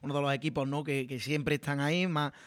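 A man's voice: fairly high pitch, flat delivery, brisk, no room sound, clean studio sound. Chatty man hosting sports radio. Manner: chatty, many fillers.

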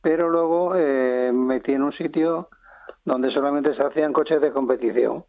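An older man answers radio interview questions, pausing often and restarting his sentences. He is at an ordinary pitch. His voice comes through a thin, phone-like line with no room sound. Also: no echo. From Spain (Madrid). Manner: halting, with many pauses and restarts. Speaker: interview guest, businessman.

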